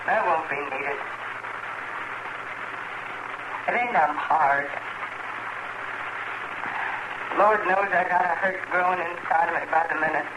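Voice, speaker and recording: An actress playing a grieving, stoic frontier farm widow with a rural American accent, brooding and plain-spoken in an old radio western. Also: female voice, on the low side, brisk, highs cut off, close to an everyday voice. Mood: stoic grief. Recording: noisy old radio drama recording.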